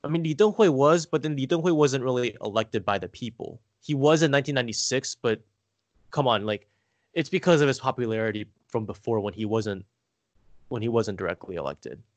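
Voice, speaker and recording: A man telling family stories casually as a podcast guest, halting and rambling, saying "like" often. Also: mid-range voice, no echo. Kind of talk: casual conversational storytelling.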